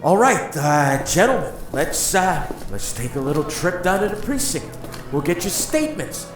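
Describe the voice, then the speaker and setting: An actor playing a flustered, incredulous police officer in an audio drama, hesitant and stammering. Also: male voice, fairly high pitch, big pitch swings, moderate pace. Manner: hesitant, stammering, dryly comic. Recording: echoey, faint music underneath. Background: faint music.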